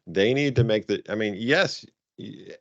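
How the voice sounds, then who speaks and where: Deep-voiced man on a roomy call. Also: a little slow. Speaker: robotics coaching advisor leading a conference call. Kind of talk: informal spoken guidance to coaches. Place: online conference call. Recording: dull, roomy conference call audio.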